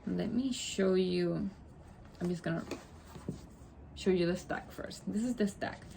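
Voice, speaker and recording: Chatty low-voiced woman, Spanish native. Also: unhurried, creaky, mellow, a little hiss or hum. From Puerto Rico. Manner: chatty, rambling, many asides and self-corrections. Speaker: vlogger. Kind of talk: casual chat to camera.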